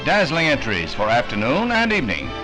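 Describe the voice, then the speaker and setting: A man's voice: medium pitch, huge pitch swings, a little fast, poor audio. An American man narrating a 1960s newsreel from a script, with a polished, declamatory announcer's delivery. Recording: vintage 1960 newsreel soundtrack, music behind.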